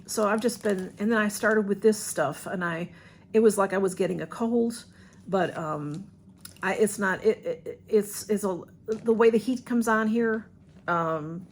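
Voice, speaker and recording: A woman with a Southern US accent speaks casually to her camera at home, her voice a little nasal and stuffy. There's a gravelly, fry-touched edge as she rambles along in a soft, heartfelt way, and faint noise sits under the recording. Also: mid-range voice. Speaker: vlogger.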